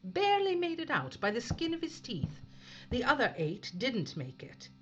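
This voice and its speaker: Spaceship captain played by a woman: stern, probing, dryly sarcastic, expressive pitch, science fiction audio drama, lo-fi sound. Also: normal speed, exaggerated voice. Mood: suspicious. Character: hard-nosed, suspicious female spaceship captain interrogating a crewman.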